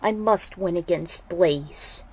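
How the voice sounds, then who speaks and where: A woman's voice: lowish voice, takes it slowly, darkish tone, slightly rough, heavily acted. A woman voicing an earnest, heroic male warrior monk champion with an intense, dramatic delivery. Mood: resolute.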